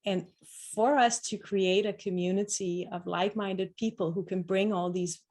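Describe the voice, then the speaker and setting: A woman's voice: mid-range voice, steady tone, moderate pace, darkish tone. A woman from Denmark, speaking as a panelist in an online discussion, reflective and often restarting her sentences. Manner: reflective, searching for words with many restarts.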